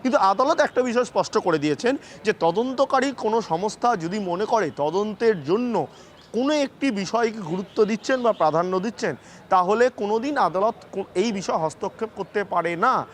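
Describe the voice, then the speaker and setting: A man with a West Bengal Bengali accent giving live news analysis as a correspondent, halting and repeating himself for emphasis. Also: high-pitched, lively intonation. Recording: clear background noise and ambience.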